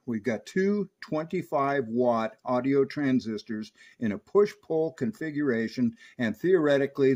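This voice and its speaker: A man's voice: mid-range voice, a little mellow. A man giving a hands-on technical equipment review, explaining each detail methodically as a presenter.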